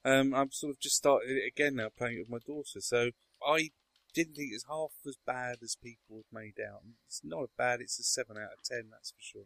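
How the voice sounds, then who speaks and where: A man hosts a casual podcast chat. He talks at a middle pitch with a dry, close sound. He hesitates often and restarts sentences. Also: fairly quick, vocal fry, a bit bright, no room sound. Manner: hesitant, lots of ums and restarts. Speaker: podcast host.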